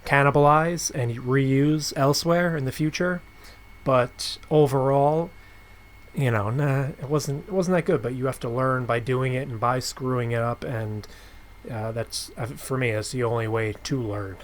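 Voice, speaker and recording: Mid-pitched man hosting a casual podcast. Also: steady tone, measured pace. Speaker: podcast host. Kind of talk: casual interview chat.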